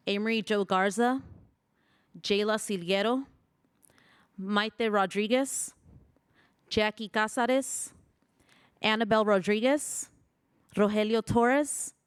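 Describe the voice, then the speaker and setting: A woman speaks slowly and solemnly, reading a list of names one by one. Her voice is smooth and clear, and it sounds dry and close. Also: medium pitch, no room sound. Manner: slow, measured reading of names. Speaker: journalist, award honoree. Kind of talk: solemn memorial tribute.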